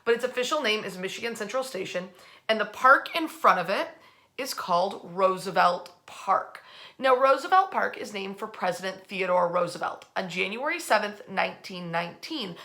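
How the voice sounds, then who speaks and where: A woman hosting an informal history storytime from her bed at home, chatty and animated, using colloquial phrasing as she speaks to an online audience. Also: mid-range voice, normal speed. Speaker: host of a nightly history storytime.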